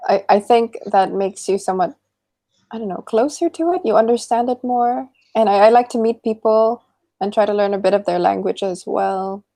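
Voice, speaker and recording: Mellow-voiced Filipino woman chatting easily. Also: mid-range voice, normal speed. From Philippines. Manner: relaxed, laughs often, agreeable. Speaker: English tutor. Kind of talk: casual online conversation lesson.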